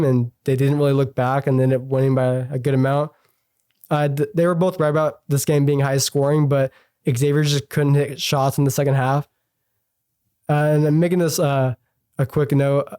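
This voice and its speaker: Slightly hoarse young male sports host. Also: medium pitch, mellow, no room sound, studio quality. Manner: chatty, many fillers and self-corrections. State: slightly hoarse from a cold, sniffly. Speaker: sports radio show host. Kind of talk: casual solo sports talk. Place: college radio studio.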